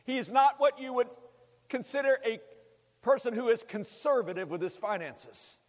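A man in his fifties preaching a sermon, speaking emphatically with deliberate repetition and addressing the congregation directly. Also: extremely high pitch, expressive. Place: large hall, preaching to a congregation. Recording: long hall reverb.

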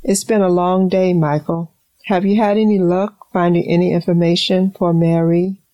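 A woman plays an earnest, reflective mother in middle age for an audio drama. Her voice is mellow and slightly low, recorded dry, with measured, sincere phrasing. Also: fairly even intonation, no room sound, highs cut off, soft background music, lightly acted. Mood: reflective, grateful.

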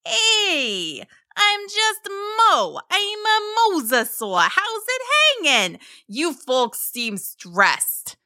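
A woman voicing a huge, friendly, laid-back male mosasaur with breezy, folksy slang and drawn-out words, in a children's story. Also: extremely high pitch, wildly swooping pitch, sharp tone, no room sound, clean studio sound, pitched above the performer's own voice, exaggerated voice.

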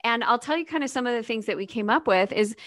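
Woman podcast host, slightly high, chatty storyteller, dry sound. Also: expressive, fast talker, a bit bright, dry recording. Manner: storytelling with acted-out inner quotes, many "like"s. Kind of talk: casual podcast chat.

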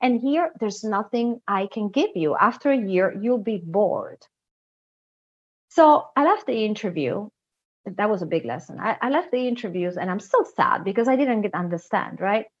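The recording is a little dull and lo-fi. A woman with an Italian accent speaks as an interview guest at an average pitch. Her style is conversational storytelling. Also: lively intonation, moderate pace. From Italy. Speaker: guest, international recruitment director. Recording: slightly dull, lo-fi sound.

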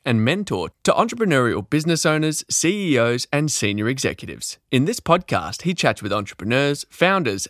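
A man reading a scripted podcast intro in a smooth, lively way. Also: mid-range voice, no room sound. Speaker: podcast announcer. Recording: dry voice over faint music.

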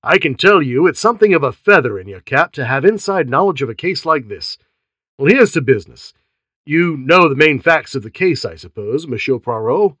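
A man voicing a hearty, condescending male police inspector who talks loudly and persuasively. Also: on the high side, sing-song, normal speed, dry recording. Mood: bluff.

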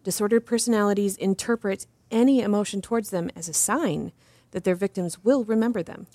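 A woman acting as an assured advocate interviewed in an audio drama, explaining things in a measured, didactic way. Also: mid-range voice, dark tone, no echo, close to an everyday voice. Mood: composed, instructive. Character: composed, assured female advocate explaining justice reform. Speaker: interview guest.

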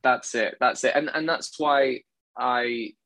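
An earnest baritone man in his thirties, from England, speaking at an everyday pitch as an interview guest. He talks effusively and keeps correcting himself mid-sentence. The sound is dry and close, with no room around it. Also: slow, fairly bright tone, dry recording. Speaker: guest, actor and singer. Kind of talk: conversational interview.

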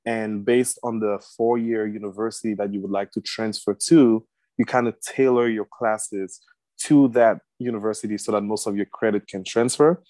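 A young man from Cameroon gives a casual personal account as an interview guest. His voice sits on the low side. The sound is dry, with hardly any room echo. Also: steady tone, moderate pace, darkish tone. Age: young adult. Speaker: podcast guest, medical student.

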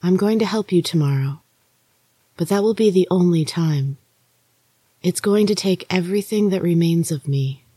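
A narrator voicing a soft, higher-pitched ghostly sister. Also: normal speed, breathy edge, no room sound, close to an everyday voice. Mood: solemn.

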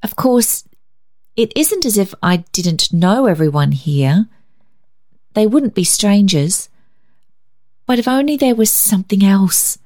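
A woman's voice: mid-range voice, lively intonation, slow pace, pauses often, a little mellow, voice pushed up. A woman reading a story, voicing an earnest, anxious young woman with an emotional, hesitant manner. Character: Barbara, earnest, easily shocked young woman, anxious sister.

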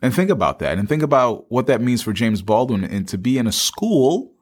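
A man's voice: medium pitch, very animated, a little fast. A man co-hosting a podcast, speaking conversationally to listeners and repeating phrases for emphasis. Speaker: podcast co-host.

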